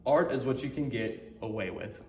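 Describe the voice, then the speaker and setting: A man's voice: medium pitch, expressive, moderate pace, vocal fry, a little mellow, a little hiss or hum. A man reading a picture book aloud for a story time, alternating single words with short sayings. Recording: echoey room with music.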